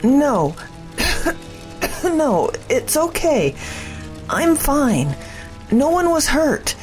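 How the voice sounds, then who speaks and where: A woman's voice: sits high, very animated, extremely slow, heavily acted. A woman acting in an audio drama as a shaken, grateful neighbourhood woman rescued from a fire. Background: faint background music.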